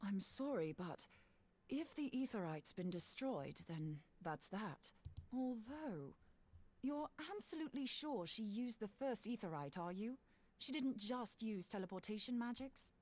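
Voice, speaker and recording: A woman's voice: medium pitch, animated, a little slow, darkish tone. A woman playing a bold, self-assured scholar in a fantasy video game, explaining things breezily. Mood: probing, doubtful.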